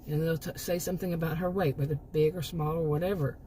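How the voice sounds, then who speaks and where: A middle-aged woman talks straight to her viewers in a rambling, emphatic way, doubling back on her words. Her voice is mellow and warm, with a hoarse edge, since she is losing it. A faint hiss of noise sits under the recording. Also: deep voice, fairly even intonation, fast talker. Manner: rambling, emphatic, with frequent restarts and asides. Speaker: commentary channel host. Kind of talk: casual opinion monologue.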